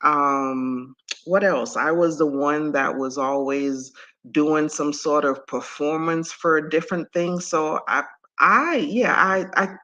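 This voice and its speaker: A middle-aged woman from Antigua with a deep voice, talking as a guest in an interview in an animated, emphatic way. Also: takes it slowly, lo-fi sound. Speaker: guest speaker and coach. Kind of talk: conversational interview.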